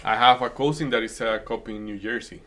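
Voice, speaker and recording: This is a man from the Dominican Republic who speaks with a Dominican Spanish accent, Spanish being his first language. His voice is bright and forward. He chats loosely and jokingly while calling trades, often breaking off and restarting his sentences. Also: lowish voice, brisk, slight background noise. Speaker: day trading streamer host. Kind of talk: casual live-stream chat and market commentary.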